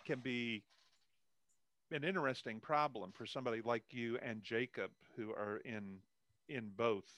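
A man's voice: medium pitch, unhurried, fairly bright tone, no echo. An older man, a guest author chatting casually about writing in a rambling way, with self-deprecating humour.

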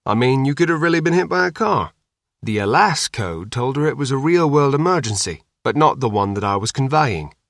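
A man's voice: medium pitch, expressive, brisk, vocal fry, a bit bright, no echo, studio quality, voice pushed up. A man reading an audiobook voices a terse, urgent father who gives curt, commanding orders. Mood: terse, explaining. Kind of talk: audiobook character dialogue.

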